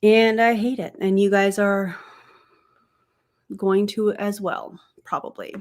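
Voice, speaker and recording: A young adult woman hosting a casual livestream, a little congested as she gets over being sick, chatty and quick to laugh. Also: mid-range voice, steady tone, a little mellow. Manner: chatty, laughs easily, talks to chat. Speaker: streamer and commentator.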